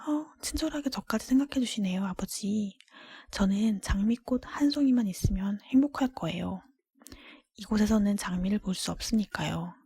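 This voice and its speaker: Storybook young daughter voiced by a woman, modest, kind, polite, very breathy whisper, dry close sound. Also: medium pitch, normal speed, no room sound. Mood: grateful, modest. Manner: polite, sweet, gentle.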